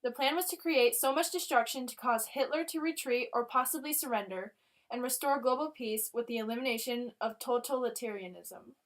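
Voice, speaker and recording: A woman's voice: a little high, a little fast. A woman reading a scripted history newsreel narration.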